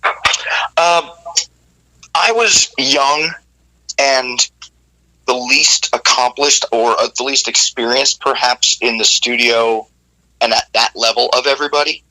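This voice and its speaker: A man, a rock vocalist, telling studio stories in a candid, animated way in an interview, with casual swearing. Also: mid-range voice, takes it slowly, long pauses, poor audio.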